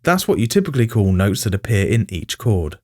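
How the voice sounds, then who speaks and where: A man's voice: lowish voice, very animated, brisk, darkish tone. A man narrating a scripted music production tutorial as its host.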